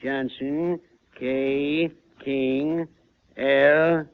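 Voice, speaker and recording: A man playing an eccentric, comically solemn quack professor in a 1940s radio comedy, with deliberate dramatic delivery. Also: mid-range voice, fairly even intonation, very noisy. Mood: officious. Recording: muffled old radio, studio audience.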